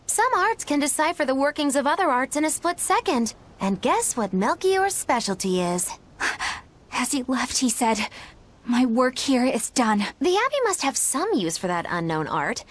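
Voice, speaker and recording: A woman's voice: sits high, sing-song, moderate pace. An actress playing a sly young female witch in a game, who lectures in a teasing, playful way.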